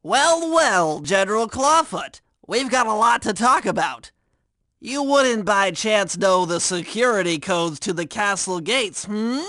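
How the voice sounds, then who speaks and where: A man's voice: high-pitched, expressive, measured pace, sharp tone, no room sound, full character voice. A man voicing a nerdy, anxious young male turtle inventor and hacker in a video game, speaking in precise, wordy technical phrases. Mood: confident.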